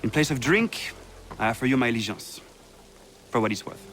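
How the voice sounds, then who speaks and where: An actor playing an outspoken, defiant colonial patriot in a video game, speaking in an earnest, declamatory way. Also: male voice, mid-range voice, lively intonation, normal speed, a bit bright, close to an everyday voice. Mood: earnest, proud. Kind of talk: video game cutscene acting. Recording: game music behind the voice.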